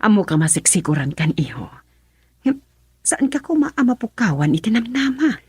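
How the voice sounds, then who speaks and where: A woman's voice: on the high side, very animated, normal speed, a little mellow, husky, dry recording, full character voice. An actress with a Filipino accent playing a concerned middle-aged mother in an Ilocano radio drama. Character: concerned middle-aged mother advising her grown son.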